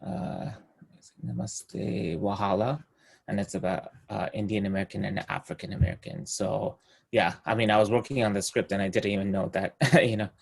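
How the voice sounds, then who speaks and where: A man speaks over a video call, his voice low and husky with a gravelly edge. His delivery is flat and halting, and he fills it with ums and uhs. It is a casual meeting update. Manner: hesitant, many fillers, soft trailing phrases. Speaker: screenwriter sharing project update.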